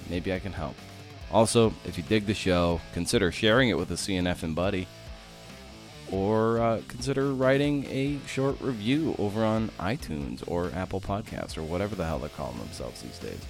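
A man's voice: low-pitched, lively intonation, normal speed, soft background music. A male podcast host, worn out after a night shift, talking in a loose, jokey way with many restarts. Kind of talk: casual podcast interview and outro.

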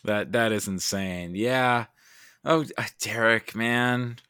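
A man's voice: on the low side, takes it slowly, bright, forceful tone, smooth, dry recording, clean studio sound. A man talking casually as a guest on a podcast. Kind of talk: casual chat.

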